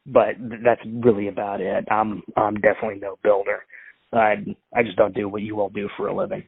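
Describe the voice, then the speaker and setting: A man's voice: on the low side, level tone, a little fast, vocal fry, breathy edge. Male prank caller; rambling, restart-heavy delivery; phone-line audio. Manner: rambling, full of fillers and restarts. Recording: tinny dry phone line.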